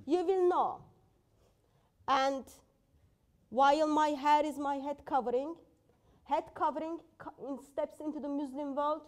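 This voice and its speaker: A woman's voice: sits high, darkish tone. A woman in her thirties, not a native English speaker, firmly rebutting an opponent in a live debate, speaking in a plain indoor room. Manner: assertive, challenging, non-native phrasing.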